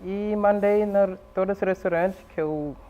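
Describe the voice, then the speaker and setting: Casting: higher-voiced man, native French speaker, halting interview answers, tinny phone-like audio. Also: fairly even intonation, slight background noise. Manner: halting, searching for words in a learned language. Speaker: immigrant interviewee. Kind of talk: interview testimony.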